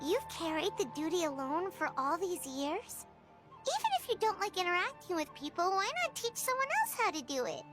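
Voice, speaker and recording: A woman voicing a tiny, excitable, childlike fairy companion with a squeaky, bouncy voice, over game music. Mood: pleading. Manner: exclaiming, quick and breathless.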